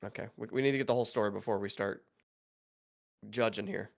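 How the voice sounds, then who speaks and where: A man's voice: lowish voice, steady tone, fast talker, vocal fry. A man co-hosting a reaction stream over a voice call, joking, teasing and swearing casually. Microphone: headset or desk mic over a voice call.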